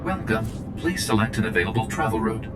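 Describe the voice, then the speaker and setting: An actor playing a polite automated travel terminal, formal and impersonal, making announcements in a video game. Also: male voice, a little fast, loud music behind the voice, lightly acted. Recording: loud rumbling vehicle noise.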